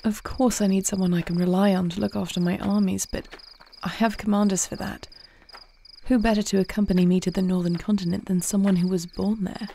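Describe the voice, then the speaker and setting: A woman playing a poised young warrior princess in a fantasy audio roleplay, speaking with formal, measured, regal diction. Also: medium pitch, little pitch movement, vocal fry, mellow, slight background noise, close to an everyday voice. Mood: tender. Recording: faint night cricket ambience.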